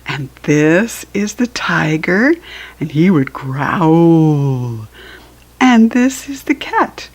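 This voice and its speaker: An American woman reads a picture book aloud for small children. Her voice sits fairly low and moves with lively swings of pitch at a very unhurried pace. It is a little husky, and she talks to the listener in simple, teacherly asides. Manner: acts out animal sounds while reading. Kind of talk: read-aloud storytelling for young children with asides.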